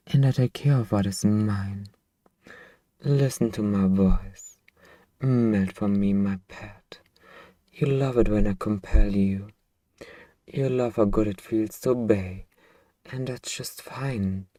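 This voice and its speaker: Casting: male actor as possessive vampire master for ASMR roleplay; very dark, mellow tone, unhurried, hypnotic coaxing. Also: lowish voice, no room sound. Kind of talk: ASMR roleplay, slow intimate delivery.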